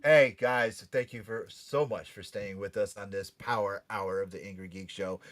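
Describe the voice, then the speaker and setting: A man hosting a podcast with excitable, joking banter, rambling and repeating phrases for effect. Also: on the low side, normal speed. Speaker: podcast host.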